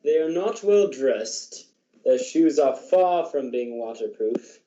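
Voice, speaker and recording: A grand, jolly giant of a spirit played by a man speaks slowly and mellowly, as in a radio play. The voice echoes in a roomy, dull recording. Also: mid-range voice, expressive, dark tone. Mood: solemn, kindly. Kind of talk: amateur radio drama acting.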